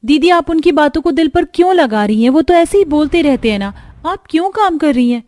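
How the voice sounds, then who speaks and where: Woman doing a young caring sister-in-law, cartoon, high voice, eager and supportive. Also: animated, fairly quick, darkish tone. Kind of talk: Hindi cartoon story dialogue.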